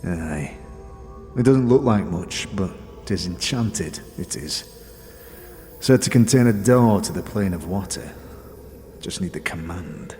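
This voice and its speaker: Gruff dead thief, deep and echoing. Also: male voice, expressive, slow pace, darkish tone, heavily acted. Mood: sly, conspiratorial. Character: crude, bitter, boastful dead thief, a talking corpse. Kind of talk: fantasy audio drama acting. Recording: long reverberant echo, music underneath.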